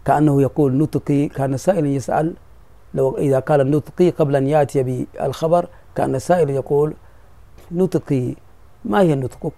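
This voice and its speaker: A man's voice: a little high, a little fast, gravelly, mellow, no echo. A man teaching Arabic grammar as a sheikh, patiently explaining and repeating key phrases and checking that his students follow. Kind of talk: classroom explanation of a grammar poem.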